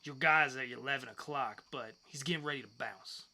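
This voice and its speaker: A man's voice: mid-range voice, fairly quick, bright, forceful tone. A man acting friendly, casual male bar characters in a comic book dramatic reading, with colloquial, familiar talk.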